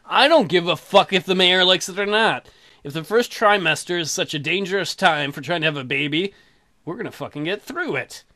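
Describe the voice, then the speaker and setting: A man's voice: sits high, fairly quick, pushed and bright. A man hosting a let's play, casually commenting and reading aloud in an irreverent, joking, sweary way.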